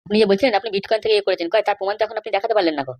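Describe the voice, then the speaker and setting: A woman's voice: medium pitch, rapid-fire, a little mellow, lightly acted. A woman voicing a stubborn, bribe-seeking police officer in a comedy cartoon, insistent, lecturing and dismissive. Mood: stern. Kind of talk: Bengali comedy cartoon dialogue.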